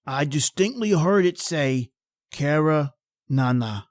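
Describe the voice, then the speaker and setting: A wry street artist, played by a man, speaks guardedly in a somewhat high voice. His lines are terse, in vintage radio-play style, recorded close and dry. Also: animated, takes it slowly, dry recording. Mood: uneasy. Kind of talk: old-time radio drama acting.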